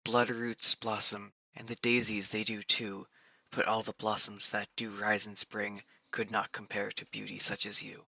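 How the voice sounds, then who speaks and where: An actor playing a devoted, pleading lover in a Shakespearean-style monologue, speaking earnestly and formally. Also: male voice, on the low side, level tone, unhurried, vocal fry, bright, forceful tone, no echo, exaggerated voice, low-quality recording. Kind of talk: poetic dramatic monologue.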